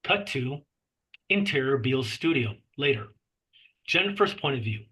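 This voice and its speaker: A man's voice: medium pitch, animated, moderate pace, sharp tone, breathy edge, poor audio. A man reading the stage directions of a horror script aloud as scripted narration at a table read.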